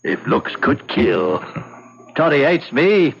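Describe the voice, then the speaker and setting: Coarse, taunting small-time villain, higher-pitched. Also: male voice, sing-song, slow pace, gravelly, exaggerated voice. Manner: mocking, chuckling, needling. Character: coarse, vulgar, vicious small man, taunting and boastful criminal. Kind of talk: old-time radio drama acting. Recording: dull lo-fi radio recording, faint noise.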